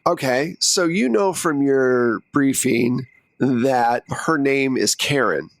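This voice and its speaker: Guy game master, mid-pitched voice, casually telling players what happens in the story. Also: slow pace, vocal fry, no room sound, poor audio. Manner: conversational, second-person storytelling. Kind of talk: casual improvised tabletop game narration.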